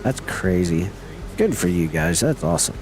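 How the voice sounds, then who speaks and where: A man's voice: low voice, sing-song, moderate pace, a little mellow, faint music behind. A middle-aged man chatting casually with viewers as he streams a game, folksy and teasing. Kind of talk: casual live-stream chat while gaming.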